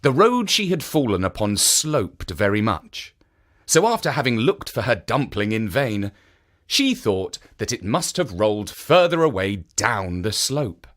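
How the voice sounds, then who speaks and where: A man reading a children's story as scripted narration, in an expressive, measured storytelling manner. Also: on the low side.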